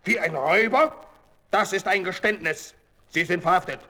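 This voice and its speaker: A man's voice: high voice, normal speed, bright, forceful tone. A man playing a pompous, muddled, officious policeman in a children's radio play.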